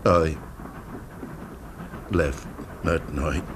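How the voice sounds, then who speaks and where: An actor playing a vengeful blind man telling of his cruel revenge in a radio drama, halting and sinking into whispers. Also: very deep voice, steady tone, heavily acted. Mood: dark triumph. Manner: hushed, halting, lingering on words, sinking to whispers. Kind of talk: radio drama storytelling monologue. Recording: faint background noise, old radio drama.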